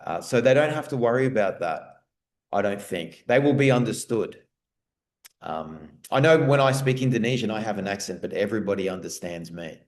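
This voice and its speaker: An Australian man answering webinar questions in a relaxed, rambling way, restarting his sentences often. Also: on the low side, moderate pace. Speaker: guest speaker. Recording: roomy echo.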